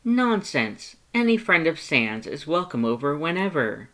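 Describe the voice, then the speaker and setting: A performer voicing an excitable, earnest male skeleton with a warm heart and emphatic, theatrical delivery, in a fan fiction audiobook. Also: medium pitch, a little slow, pitched above the performer's own voice. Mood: eager, friendly.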